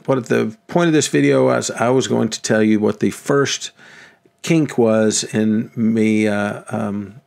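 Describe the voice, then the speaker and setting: Host, fifties man, low gravelly voice, halting unscripted talk to webcam at home. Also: darkish tone. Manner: hesitant, many ums and restarts. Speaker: religious video host. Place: small home room with lamps and shelves.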